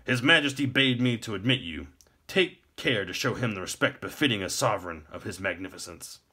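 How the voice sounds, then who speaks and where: A man's voice: lowish voice, lively intonation, a little fast, pushed and bright, slightly rough, heavily acted. A man voicing a stiff, officious gate warden who speaks very formally in a storybook reading. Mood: formal, deferential.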